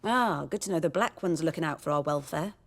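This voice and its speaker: A village herbalist in a fantasy game. Her voice sits a little low, with a measured, knowing delivery. She sounds world-weary, dry and cynical. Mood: wry, bitter.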